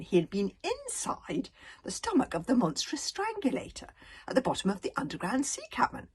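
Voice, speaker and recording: A woman's voice: high-pitched, breathy edge. A woman reading a children's story aloud with dramatic emphasis, occasionally stumbling over her words. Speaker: author reading own book aloud.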